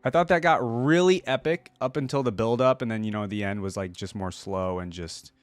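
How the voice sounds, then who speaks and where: A man's voice: fairly low pitch, fairly quick, dry recording. A man giving casual reaction commentary as a host, speaking informally and thinking aloud.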